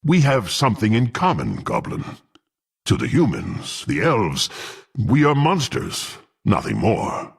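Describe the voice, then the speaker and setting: An actor playing a brutish, proud half-orc warrior in a video game, gruff and scornful, speaking with haughty deliberation. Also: male voice, fairly low pitch, huge pitch swings, a little slow, heavily acted. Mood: proud, stern. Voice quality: gruff, gravelly.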